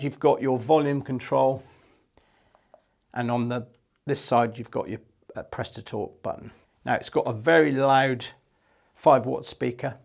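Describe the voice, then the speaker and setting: A middle-aged man presents a product in a plain, explanatory way. His voice sits at a medium pitch and has a noticeable creak to it. The delivery is informal and matter-of-fact. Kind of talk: informal product overview and demonstration.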